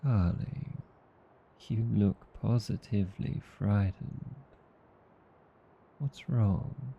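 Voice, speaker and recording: A man with an Australian accent playing a gentle, reassuring vampire husband in an intimate ASMR roleplay, tender and soothing. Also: extremely slow, highs cut off, a little hiss or hum, full character voice. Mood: gently persuasive. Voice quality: low, dark, gravelly. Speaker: voice actor.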